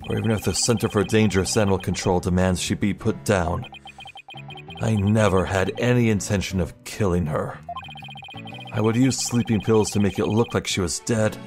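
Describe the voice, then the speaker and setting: A man's voice: lowish voice, moderate pace, natural voice. A man voicing an earnest, blunt veterinarian in a video game, with music behind.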